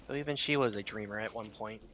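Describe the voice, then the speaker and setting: A man casually reacting to a game as a streamer, in a halting, musing murmur. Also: lowish voice. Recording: game music behind the voice.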